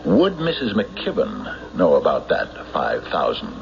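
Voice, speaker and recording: An actor playing a suspicious American sheriff in a radio mystery, questioning deliberately with measured pauses. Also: male voice, a bass voice, wildly swooping pitch, vocal fry, exaggerated voice. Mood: probing, suspicious. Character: suspicious, probing male county sheriff. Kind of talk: radio mystery drama acting. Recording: muffled, hissy old radio recording.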